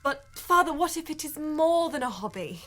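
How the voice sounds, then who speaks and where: A young Victorian lady with a fairly high voice. She is genteel, formal and earnest, with a quiet streak of defiance. The radio drama has faint music beneath her. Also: animated, moderate pace. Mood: pleading. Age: young adult. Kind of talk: period radio drama acting.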